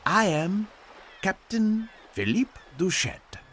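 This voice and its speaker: An actor playing a polite luxury yacht captain in a radio drama, formal, servile and precise. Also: male voice, high-pitched, very animated, slow pace, a bit bright, slight background noise, heavily acted. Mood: deferential, unruffled.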